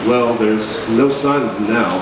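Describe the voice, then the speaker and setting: A man's voice: medium pitch, unhurried, far from the mic, lightly acted. A man playing a mild, skeptical, placating newspaper reporter in a 1940s-style radio drama. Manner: placating, doubtful. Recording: roomy, noisy live stage recording from afar.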